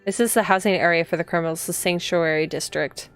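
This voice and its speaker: A woman's voice: fairly low pitch, fairly even intonation, quick pace, faint music behind, lightly acted. Woman reading as a proud, contemptuous officer. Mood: formal. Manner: formal, passive-aggressive. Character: proud, contemptuous male prison-town officer, coldly cruel.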